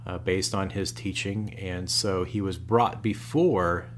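A man's voice: low-pitched, fairly even intonation, takes it slowly, slight background noise. A man co-hosting a casual faith podcast, explaining scripture at length with joking asides. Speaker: podcast co-host.

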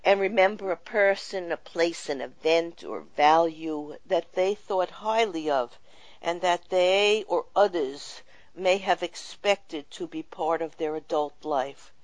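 A woman hosting a spiritual talk show, speaking in a gentle, reflective and earnest way. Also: lowish voice, takes it slowly, no echo, rough recording. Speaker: show host.

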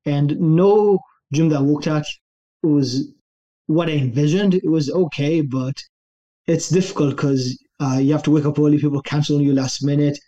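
A young adult man from Israel, a fitness coach telling his story in a relaxed, easygoing interview. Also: fairly high pitch, moderate pace, dull audio. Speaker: guest, online fitness coach.

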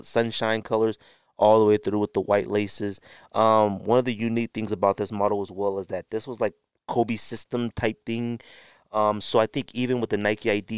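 A man's voice: on the low side, a little mellow, smooth, no room sound, studio quality. A man hosting a sneaker podcast, talking casually and unscripted to the camera, rambling a little and correcting himself as he shows things. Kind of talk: casual show-and-tell talk to camera.

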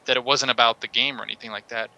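Guy ranting on a podcast, really bright sharp voice, animated, chatting with friends. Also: mid-range voice, racing, gravelly, muffled recording. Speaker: podcast panelist. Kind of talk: casual group debate.

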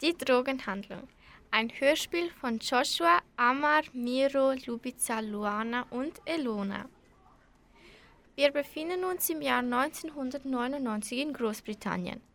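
A young female narrator reads a radio play introduction. Her voice is high, with noticeable creak. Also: measured pace, a bit bright.

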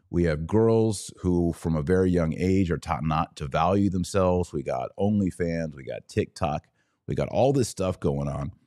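A man's voice: deep voice, mellow. An American man hosting a podcast, giving emphatic, opinionated live commentary on politics and religion in short clipped phrases. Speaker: podcast host.

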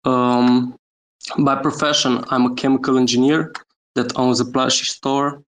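A man from Romania, a guest on a live audio chat, talking about his project with many pauses, fillers and small laughs. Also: mid-range voice, flat delivery, a bit bright, a little hiss or hum, low-quality recording. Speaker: guest NFT creator. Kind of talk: casual chat on a Twitter space.

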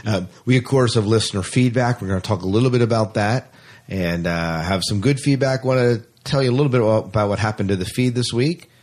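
A man's voice: on the low side, fairly quick, darkish tone. A man chatting casually about science fiction as a podcast co-host, often repeating phrases for emphasis.

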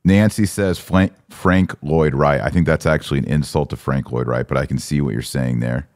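A man's voice: a bass voice, fairly even intonation, normal speed, a little mellow. A man hosting a conversational religious commentary podcast, often posing incredulous rhetorical questions. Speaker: podcast host.

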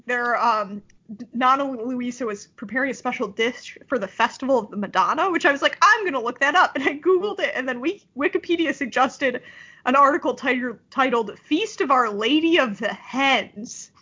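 A woman's voice: high voice, moderate pace, bright, forceful tone, slightly rough. A woman with a nasal Midwestern accent, co-hosting a podcast and chatting casually with wry, dry asides. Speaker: podcast co-host. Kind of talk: casual chat recapping a TV episode. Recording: dull lo-fi podcast audio.

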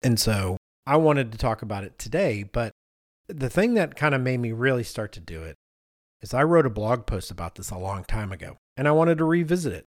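A man's voice: medium pitch, very animated, fairly quick, no echo, studio quality. A man in his fifties hosting a conversational discussion, talking as a podcast host. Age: 50s. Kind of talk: conversational discussion, reading out responses.

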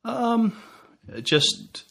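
A man's voice: very high voice, sing-song, drawn-out words, gravelly, bright, forceful tone. A man co-hosting a podcast, chatting casually with his partner. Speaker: podcast co-host. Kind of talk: casual chat.

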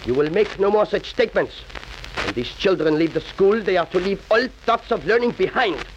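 Cold Nazi official, muffled old radio. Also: male voice, sits high, animated, fairly quick. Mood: cold, stern. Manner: clipped, formal, commanding. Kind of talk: 1940s radio acting. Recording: muffled, hissy 1940s radio recording.

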